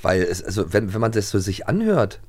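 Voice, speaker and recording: A man's voice: fairly low pitch, very animated, a little fast, a little mellow, husky. A man co-hosting a podcast, chatting casually in loose, half-finished sentences about radio plays. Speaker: podcast co-host.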